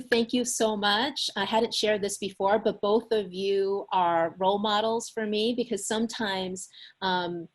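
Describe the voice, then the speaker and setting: Woman moderating a panel on video call. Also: medium pitch. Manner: warm, effusive thanks and gentle prompting questions.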